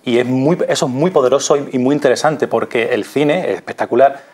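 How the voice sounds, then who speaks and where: A man's voice: medium pitch, fairly quick, darkish tone. A man in his thirties with an accent from Spain, an actor explaining things thoughtfully and emphatically as a studio interview guest. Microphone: lapel mic.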